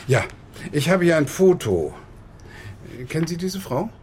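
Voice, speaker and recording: Casting: male homicide detective, measured and official, varied melody, radio crime play, slight background noise. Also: fairly high pitch, sing-song, darkish tone. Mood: measured, probing. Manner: formal, measured, probing. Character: deliberate male homicide detective questioning a witness. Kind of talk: naturalistic radio crime drama acting.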